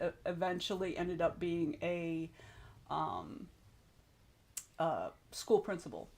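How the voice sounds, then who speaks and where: Rambling, chatty woman streamer. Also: mid-range voice. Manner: rambling, self-interrupting, frank with swearing. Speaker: streamer hosting writing sprints. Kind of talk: casual chat reading viewer comments.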